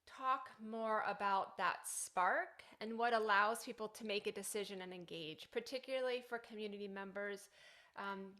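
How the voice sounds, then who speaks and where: Female podcast host, slightly high voice, enthusiastic interviewer. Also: pushed and bright. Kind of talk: warm interview introductions and questions.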